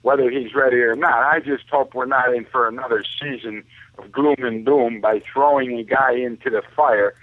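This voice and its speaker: A man's voice: mid-range voice, steady tone, moderate pace. A man giving opinionated sports talk over a narrow phone line, speaking emphatically and often addressing his listener as "man". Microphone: telephone.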